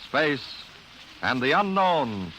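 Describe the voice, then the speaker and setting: A man's voice: medium pitch, sing-song, slow, pushed and bright. Formal male announcer, noisy vintage radio. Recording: noisy 1950s radio transcription.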